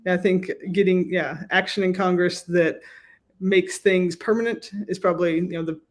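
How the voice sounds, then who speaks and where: An American woman speaks as an academic guest in an interview. Her answers are measured and explanatory, often built from emphatic lists. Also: lowish voice, fairly even intonation. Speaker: professor and researcher guest.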